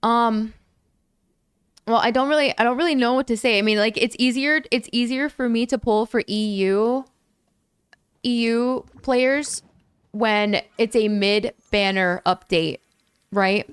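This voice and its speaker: A young woman livestreaming a game, talking freely to her chat with a fairly high, smooth voice. She jumps into excited, squealing thanks whenever viewers give, and her voice sounds a little worn from hours of talking. The sound is close and dry, with no room around it. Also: unhurried, no echo. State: voice a bit lower and worn from long talking. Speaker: gaming livestreamer.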